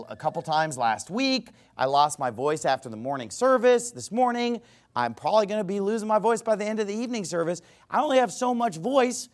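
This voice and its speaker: Male American preacher, higher voice with big pitch swings, emphatic and argumentative, talking straight to the congregation. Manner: emphatic, repeats phrases for stress; folksy direct address to listeners. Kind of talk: sermon, conversational and argumentative.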